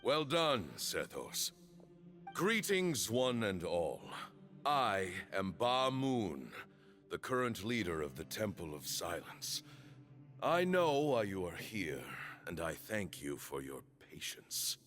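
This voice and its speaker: A man's voice: on the low side, big pitch swings, slow pace, fairly bright tone, full character voice. An actor playing an elderly male temple leader who speaks in a formal, ceremonious and courteous way in a game cutscene. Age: older. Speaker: religious order leader. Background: music score.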